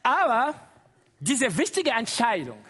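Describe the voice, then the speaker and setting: A man's voice: very high voice, huge pitch swings, takes it slowly, bright, forceful tone, breathy edge. A middle-aged man from Congo with a Congolese accent, whose first language is Lingala, preaching a sermon to a congregation, exclaiming and laughing often. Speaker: guest preacher.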